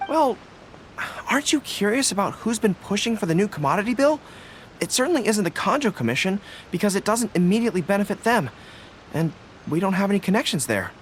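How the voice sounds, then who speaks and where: A man voicing an earnest, loyal young general in a game, polite and quick to apologize. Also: high-pitched, sing-song, a little hiss or hum. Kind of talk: game voice acting.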